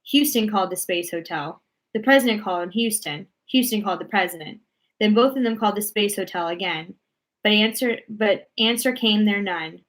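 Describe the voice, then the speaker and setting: A woman reading a children's storybook aloud as narrator, expressive and deliberate in her storytelling. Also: mid-range voice, poor audio.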